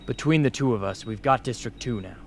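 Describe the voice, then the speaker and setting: A man's voice: mid-range voice, brisk, natural voice. A man playing a hardened, argumentative young rebel soldier in an amateur radio drama, insistent and matter-of-fact. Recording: noisy amateur radio play recording.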